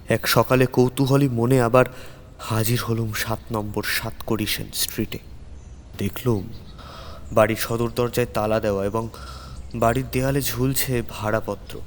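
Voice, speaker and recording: A man narrating a first-person horror story theatrically, with suspenseful pauses and repeated words. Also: fairly low pitch, a little hiss or hum.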